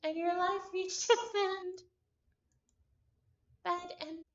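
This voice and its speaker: A woman livestreaming a visual novel, chatting casually and reading game text aloud in an excitable, halting way, often repeating phrases. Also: extremely high pitch. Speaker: streamer playing a visual novel. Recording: dull lo-fi stream audio.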